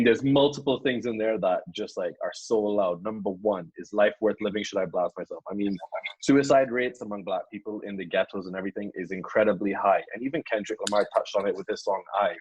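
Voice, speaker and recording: Casting: young man, husky low voice, earnest guest, dull lo-fi call sound. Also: no echo. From Caribbean. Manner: earnest, repeats words while thinking. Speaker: podcast guest. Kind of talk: casual podcast discussion.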